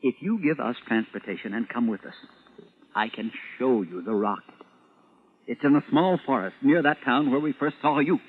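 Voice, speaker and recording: A man's voice: mid-range voice, animated, moderate pace, close to an everyday voice. An actor playing an exasperated, commanding space captain in old-time radio drama style, desperate to prove that he is sane. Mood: irritated. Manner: emphatic, impatient, commanding. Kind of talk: 1940s-50s radio drama acting. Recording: noisy, tinny old radio recording.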